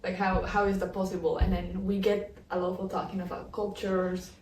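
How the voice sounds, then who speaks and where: A woman's voice: medium pitch, level tone, moderate pace, darkish tone, a little hiss or hum. A Colombian woman in her twenties, co-hosting a casual podcast chat, laughing often and filling her talk with "like". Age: 20s. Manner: giggly, lots of "like" fillers. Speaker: podcast co-host. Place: indoor room in the Netherlands. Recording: echoey room, mic at a distance.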